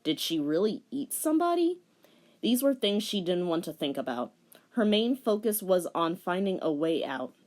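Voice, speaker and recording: Female reader, lowish voice, swinging theatrical intonation, wry commentator on a dramatic story. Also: sing-song, normal speed, a little mellow. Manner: theatrical storytelling with joking asides. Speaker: fan fiction reader and commentator.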